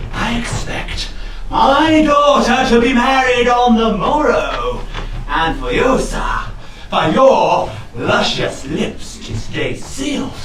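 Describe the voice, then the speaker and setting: A man's voice: sits high, full character voice. An actor playing an irate, blustering colonel father, ranting theatrical threats in a comic costume drama. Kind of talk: comic costume-drama stage acting in rhyme. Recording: very echoey, distant, noisy stage recording.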